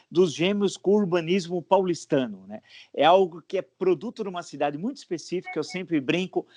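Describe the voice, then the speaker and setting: A man's voice: on the high side, no echo. A man, a historian hosting his channel, asking an interviewee long explanatory questions. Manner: discursive, explanatory.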